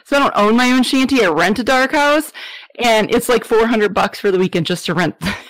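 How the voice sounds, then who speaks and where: A woman's voice: a little high, a little fast, fairly bright tone, dry recording, poor audio. Enthusiastic woman in a dry, close recording. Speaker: podcast guest. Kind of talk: casual interview chat.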